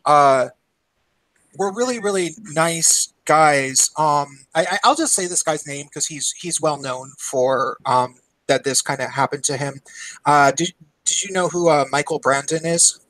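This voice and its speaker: A man's voice: fairly high pitch, measured pace, fairly bright tone, no room sound, rough recording. A man in his thirties hosting a podcast, chatting casually and laughing often over a call. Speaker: podcast host. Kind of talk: casual chat.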